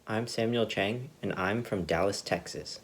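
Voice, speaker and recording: A teenage boy explains a research project in a steady, scripted way. His voice sits on the low side. He speaks from a room at home into a laptop mic. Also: moderate pace. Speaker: student presenter. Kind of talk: prepared project presentation.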